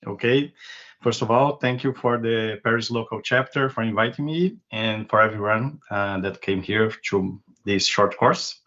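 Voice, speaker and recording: A man from Brazil giving a technical lecture over a video call in a relaxed, loosely phrased way. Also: fairly low pitch, moderate pace. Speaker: guest lecturer. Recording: dull lo-fi web call audio.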